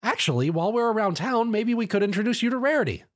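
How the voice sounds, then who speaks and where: A man's voice: sits high, animated, fast, pitched above the performer's own voice. A man voicing an eager, earnest young boy dragon in a storybook reading.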